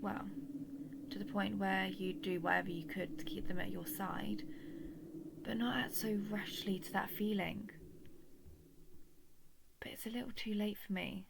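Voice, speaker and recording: Loud ambient noise surrounds a lovesick villainous sorceress, acted by a woman in an intimate fantasy audio drama. Her voice is breathy and often half-whispered, with a creaky edge. She speaks softly and deliberately in a narrow, even melody, wistful and possessive. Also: mid-range voice. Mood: tender, possessive. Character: lovesick former-villain sorceress, manipulative, faintly remorseful. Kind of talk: intimate scripted audio roleplay monologue. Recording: noisy, with loud ambient sound behind.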